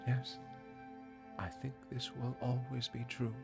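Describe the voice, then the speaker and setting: A man playing a charming, guarded musician and lover in an intimate audio drama, soft-spoken and flirtatious. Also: medium pitch, little pitch movement, slow, creaky, over music. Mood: tender, earnest.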